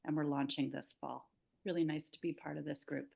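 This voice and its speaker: A woman startup founder introducing herself on a video call, with a quiet, soft voice. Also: deep voice, steady tone, brisk, gravelly.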